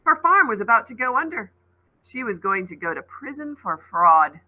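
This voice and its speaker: A woman's voice: on the high side, sing-song, moderate pace, pushed and bright, exaggerated voice, rough recording. A woman voicing a sinister, boyish supernatural trickster in a storybook, taunting and smug in a theatrical way. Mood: mocking, boastful.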